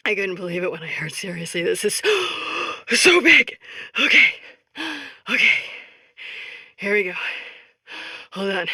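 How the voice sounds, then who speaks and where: A woman's voice: on the high side, bright, forceful tone, no room sound, studio quality, full character voice. A woman playing an exhausted, unfit messenger in a comedic game, badly out of breath, wheezing and gasping out flustered fragments. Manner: halting, flustered, gasped fragments. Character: exhausted, unfit female messenger gasping to tell big news. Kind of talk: comedic video game character monologue.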